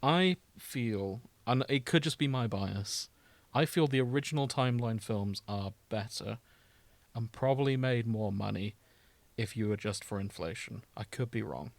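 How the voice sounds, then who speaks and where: A man in casual podcast conversation as a co-host. His voice sits at an average male pitch. The sound is dry, with hardly any room on it. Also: moderate pace, creaky, dry recording.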